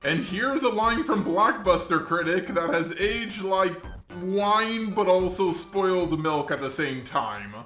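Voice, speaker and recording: A man's voice: sits high, expressive, takes it slowly, few pauses, sharp tone, echoey room, faint music behind. A man hosting an internet commentary video, reading a scripted narration. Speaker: commentary channel host.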